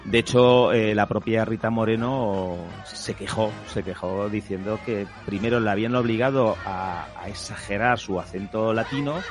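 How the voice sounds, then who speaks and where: A man's voice: on the low side, moderate pace, hardly any pauses, over music. A man talking casually about a classic film, eager to share trivia and anecdotes.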